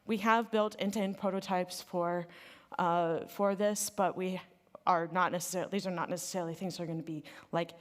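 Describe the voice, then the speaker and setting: A woman's voice: fairly low pitch, steady tone, a little fast. An American woman giving a casual, enthusiastic conference talk on machine learning, speaking loosely with many fillers. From United States. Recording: reverberant hall.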